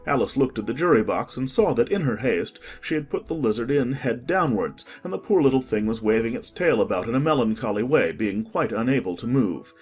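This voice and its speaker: A man narrating a storybook in a lively, expressive manner. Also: medium pitch, fairly quick, hardly any pauses, soft background music.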